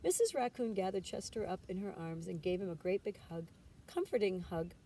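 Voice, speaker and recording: A woman's voice: medium pitch, animated, fairly quick, warm tone. A woman narrating a children's storybook aloud as a teacher would, with expression. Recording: distant mic with background noise.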